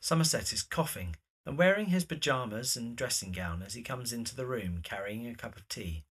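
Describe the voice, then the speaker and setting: A narrator reading scripted stage directions for an audio drama in a measured, descriptive way. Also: medium pitch, expressive, breathy edge.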